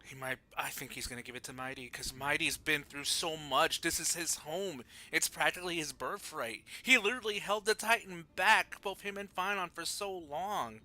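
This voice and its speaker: A man's voice: a little high, moderate pace, hardly any pauses, sharp tone. A man livestreaming a game, giving enthusiastic, casual, chatty reaction commentary.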